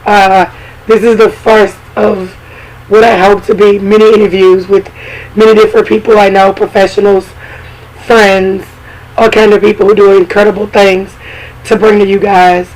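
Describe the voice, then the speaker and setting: A hoarse woman with a cold hosting a podcast in casual, chatty talk full of fillers and asides. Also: mid-range voice, level tone, moderate pace, darkish tone, poor audio. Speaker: podcast host.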